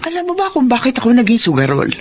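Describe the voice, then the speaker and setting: A woman's voice: on the high side, huge pitch swings, fast, noisy background. An actress playing a bitter, careless middle-aged mother in a Filipino radio drama, brusque and dismissive. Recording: radio drama with music underneath.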